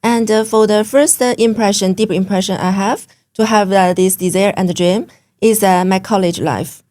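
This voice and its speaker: A woman from China speaking English with a Chinese accent as an interview guest, pausing often with fillers. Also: medium pitch, steady tone, warm tone. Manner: hesitant, many fillers, simple English. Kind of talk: interview conversation.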